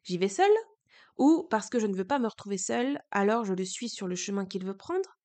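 A woman's voice: medium pitch, lively intonation, fairly quick, darkish tone. A woman hosting a podcast, speaking candidly and earnestly in a reflective personal monologue, her voice changed by a cold. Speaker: podcast host.

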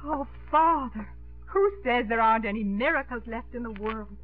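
A woman's voice: on the high side, lively intonation, moderate pace, soft background music. Genteel woman mayor, vintage radio sound. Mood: flustered, delighted. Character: genteel, emotional small-town woman mayor, torn over love. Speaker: radio drama actress. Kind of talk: 1950s radio acting. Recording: muffled, narrow-band 1950s radio broadcast.

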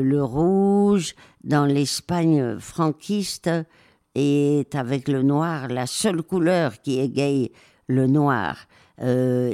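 This is an older woman speaking at an unhurried, deliberate pace, her voice mellow and dark. She pauses often and fills the gaps with hesitations as she recounts her experiences in a reflective interview. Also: rumbling low. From raised in Spain. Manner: slow, hesitant, many pauses and fillers. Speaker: interview guest, war photographer.

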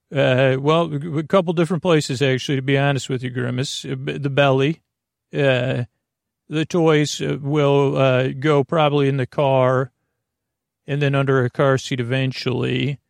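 A man with a creaky voice hosting a podcast, delivering a meandering bedtime monologue with halting, repeated words and tangents. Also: medium pitch, flat delivery, no room sound, studio quality. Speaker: podcast host.

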